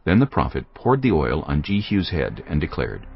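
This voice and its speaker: Very deep gravelly male narrator. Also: very animated, moderate pace. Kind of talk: dramatized scripture reading. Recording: dull lo-fi audio with music.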